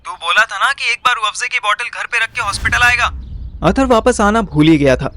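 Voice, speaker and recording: A man's voice: high voice, fairly quick, bright, forceful tone. A man narrating an audio story in the first person, colloquial and dramatic, mixing in slang. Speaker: narrator and host.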